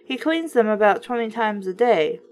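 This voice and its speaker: A woman reading a book aloud in a casual, expressive way, breaking in with sarcastic, opinionated remarks. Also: a little high, normal speed. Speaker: host reading children's books aloud with commentary.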